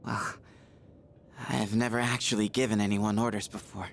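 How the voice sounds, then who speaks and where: A man's voice: fairly low pitch, little pitch movement, moderate pace, gravelly, a little hiss or hum. A man voicing an awkward, sheepish teenage boy in a video game, speaking hesitantly and candidly.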